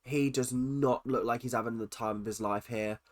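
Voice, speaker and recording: A man with a stuffy, nasal voice from a cold, chatting excitedly as he reacts to a show. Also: medium pitch, normal speed. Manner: excitable, chatty, swings between loud exclamations and muttered asides. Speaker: reaction video host. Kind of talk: casual reaction commentary.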